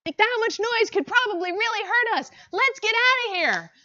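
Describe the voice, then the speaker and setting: Frightened hawks in a storybook, shrill and loud, yelling over each other in a panic, as a woman puts them on. The sound is a little dull and lo-fi. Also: expressive, normal speed, no room sound, heavily acted. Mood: panicked. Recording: dull lo-fi recording.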